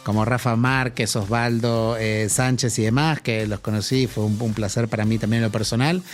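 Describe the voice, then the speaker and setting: A middle-aged Argentine man talks through his answers in a podcast interview. He often restarts and fills his pauses. His voice sits in a middle pitch, with faint music behind him. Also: fairly even intonation. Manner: thoughtful, many fillers and restarts. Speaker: marketing executive guest.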